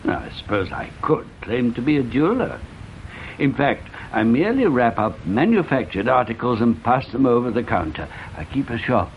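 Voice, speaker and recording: An actor playing a self-deprecating, dryly philosophical male shopkeeper in a radio drama, speaking deliberately. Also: mid-range voice, sing-song. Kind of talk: BBC radio drama acting. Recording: dull, slightly noisy radio recording.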